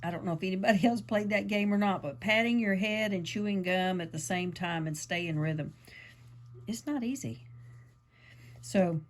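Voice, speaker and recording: A woman, a little croaky from allergies, chatting her way through a casual yarn craft tutorial with lots of ums and asides. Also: medium pitch, moderate pace. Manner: chatty, meandering, lots of fillers. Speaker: crafting channel host.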